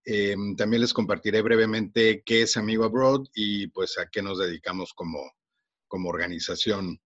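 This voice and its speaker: A man's voice: fairly low pitch, fairly even intonation, dry recording, highs cut off. A Mexican man in his forties with a Mexican accent, hosting a podcast and telling his own story casually, with many fillers and restarts. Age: 40s. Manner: warm, unhurried, frequent fillers and restarts. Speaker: podcast host.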